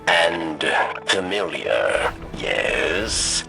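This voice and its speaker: An actor playing a wry, philosophical alien spymaster in a video game, speaking in measured, cryptic phrases. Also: male voice, low-pitched, sing-song, creaky, sharp tone, soft background music, heavily acted. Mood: wry, musing. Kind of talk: video game mission dialogue.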